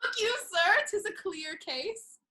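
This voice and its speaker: Woman playing a high, bright, spirited young noblewoman. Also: lively intonation, a little slow, exaggerated voice. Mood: cheeky defiant. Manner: theatrical, playful, spirited. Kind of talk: classical stage comedy acting.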